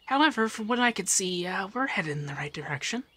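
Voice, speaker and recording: A man voicing an affectionate, teasing femboy monster companion in a soft, breathy, shyly stammering ASMR roleplay. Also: high voice, lively intonation, a little fast, full character voice. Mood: upbeat, earnest.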